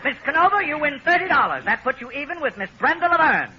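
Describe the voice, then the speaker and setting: A man's voice: very high-pitched, sing-song, fast talker, pushed and bright, full character voice. A man playing a polite, formal quiz show host in a 1940s radio comedy. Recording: noisy, muffled vintage radio recording.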